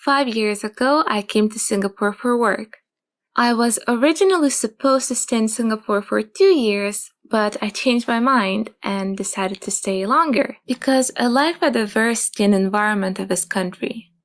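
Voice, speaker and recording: A young woman, calmly telling her own story in a soft, measured way. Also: on the high side. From Japan. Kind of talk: calm personal testimony.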